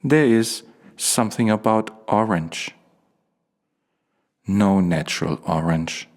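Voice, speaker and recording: A man playing a dreamy, solitary stage character in a poetic radio play, speaking in lyrical fragments. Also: lowish voice. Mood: dreamy, nostalgic. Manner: slow, fragmented, lyrical phrasing. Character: Bibi, dreamy solitary stage character marvelling at orange skies.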